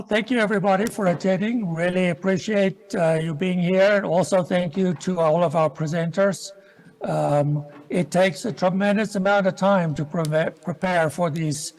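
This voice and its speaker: A man hosting an event, making unscripted closing announcements with a halting, hesitant delivery. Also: a little high, darkish tone. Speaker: event host closing the session.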